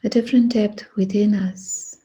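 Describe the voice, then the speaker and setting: A woman guiding a meditation in a hushed, breathy voice, speaking deliberately in short phrases with long pauses. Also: medium pitch, fairly even intonation. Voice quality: breathy, husky. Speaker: meditation guide. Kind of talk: guided meditation.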